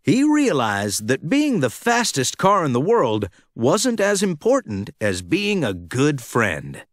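A man reads a children's storybook aloud with expressive, dramatic phrasing. His fairly high voice rises and falls in lively swings, and he takes his time over each sentence so young listeners can follow along. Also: takes it slowly, no room sound, clean studio sound. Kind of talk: scripted read-along storytelling.

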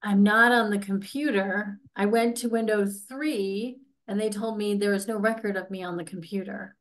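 Woman acting as frustrated applicant, plaintive, persistent, exasperated, natural mid-range pitch, comedy stage reading. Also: fairly even intonation, normal speed, natural voice. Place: indoors, on a video call.

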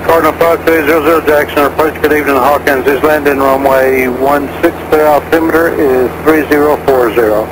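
Quick male air traffic controller on radio. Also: fairly high pitch, steady tone. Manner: clipped, businesslike delivery. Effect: aviation radio.

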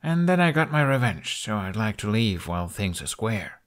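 A man's voice: on the low side, big pitch swings, moderate pace. A man voicing a wry, cynical young dragon rider in an audiobook, dry, sarcastic and a little weary. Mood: wary sarcasm. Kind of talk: audiobook character voice.

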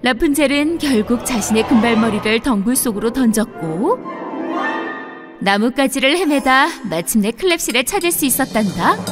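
High-voiced woman telling a children's fairy tale. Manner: expressive storybook delivery. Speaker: storyteller.

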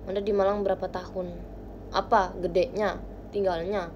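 A young woman hosting a casual livestream from her bedroom, chatting with viewers into a phone held close. She talks playfully, with quiet murmurs that jump into bright, lively bursts. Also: mid-range voice, normal speed, muffled recording, slight background noise. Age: young adult. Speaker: idol livestream host. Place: small bright bedroom indoors. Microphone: phone camera close to face.

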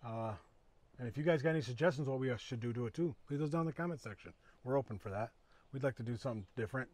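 A man in his thirties giving a casual, matter-of-fact boat tour, a little quiet because he is off the mic. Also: mid-range voice, racing, dry recording. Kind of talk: casual walkthrough vlog. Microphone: no mic of his own, picked up from a distance. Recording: noisy audio with clicks.

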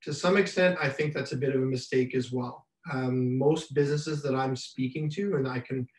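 A man, giving a business webinar with many fillers and restarts, his voice slightly raspy from much talking. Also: medium pitch, fairly even intonation. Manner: halting, many fillers and repeated starts. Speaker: business mentor presenting a webinar. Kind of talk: unscripted slide presentation. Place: home room, indoors. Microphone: webcam on a video call. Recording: roomy webcam sound from a distance.

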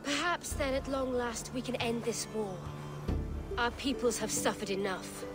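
A woman playing a dignified middle-aged noblewoman envoy in a video game, speaking in a formal, measured, stately way. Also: fairly high pitch, natural voice. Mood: solemn. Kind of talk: video game dialogue acting. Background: music.

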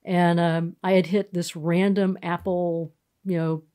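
A middle-aged woman from Texas speaks with a Texan accent and a slightly low voice. She tells stories in an easy podcast chat, full of fillers and restarts. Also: little pitch movement, measured pace. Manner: warm storytelling, frequent fillers and restarts. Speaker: pastor. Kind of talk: casual podcast interview.

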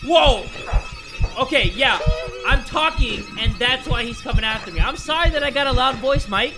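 A young man in a home room on a headset, hosting a horror game playthrough, swinging from loud yelling to hushed talk. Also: extremely high pitch, animated, normal speed, over music, poor audio. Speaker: gaming video host. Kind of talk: energetic horror game commentary.

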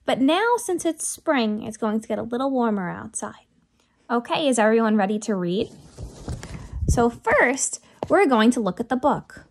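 A woman's voice: on the high side, moderate pace. A young woman teacher reading aloud and explaining things expressively to young children. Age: young adult. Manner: expressive, addressing small children with questions. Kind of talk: story read-aloud and lesson for young children.

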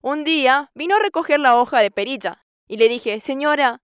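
High-pitched woman giving church testimony. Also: moderate pace, no room sound, studio quality. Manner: earnest, quotes scripture at length and acts out dialogue with a raised voice. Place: TV studio stage. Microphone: lapel mic.

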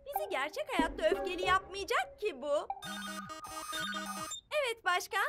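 A woman's voice: extremely high pitch, sing-song, moderate pace, loud music behind the voice. A woman voicing an earnest, bossy little superhero girl in a dubbed cartoon. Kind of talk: cartoon dub acting.

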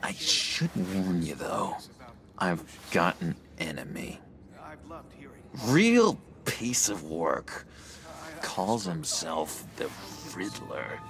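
An actor playing an eager, unhinged young schemer in a video game, halting and wheedling with sinister warmth. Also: male voice, low-pitched, wildly swooping pitch, a crowd in the background, full character voice. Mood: gleeful. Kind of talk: video game character acting.

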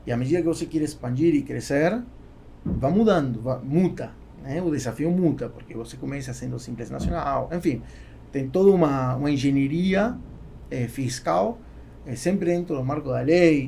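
A man's voice: mid-range voice, gravelly, mellow, slight background noise. A man in his forties from Argentina, a native Spanish speaker with an Argentine accent, chatting as a podcast guest and often searching for words. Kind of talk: conversational interview. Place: small foam-treated podcast studio. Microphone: close podcast microphone on boom arm.

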